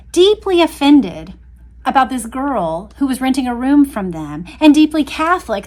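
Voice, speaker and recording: A woman hosting an expressive book read-aloud, with engaged casual asides addressed straight to her listeners. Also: high-pitched. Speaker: host reading a book aloud with commentary.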